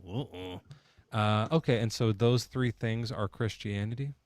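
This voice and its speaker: A man's voice: lowish voice, measured pace, no echo. A man hosting a call-in debate show, questioning callers in a joking, sarcastic way.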